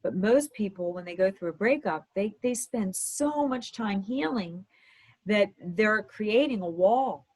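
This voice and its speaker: A woman dating coach with a slightly hoarse voice, giving upbeat advice in conversation. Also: medium pitch, lively intonation, measured pace, darkish tone. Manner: upbeat, advising. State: slightly hoarse from talking all day.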